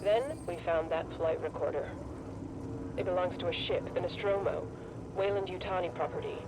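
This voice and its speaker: A man's voice: sits high, moderate pace. A man playing a weary but hopeful salvage ship crew member recording a reflective audio log in a video game. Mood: cautiously hopeful. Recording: loud ambient noise over log.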